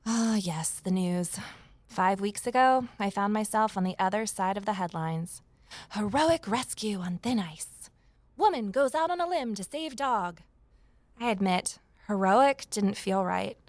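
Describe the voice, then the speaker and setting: Actress doing candid woman narrating her own audio drama, kinda wry, pokes fun at herself, normal pitch. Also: expressive, unhurried, no echo, natural voice. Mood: candid, reflective. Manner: candid, wry, self-deprecating asides. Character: wry, candid woman, former journalist starting over. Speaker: lead character and first-person narrator. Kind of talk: scripted first-person audio drama narration.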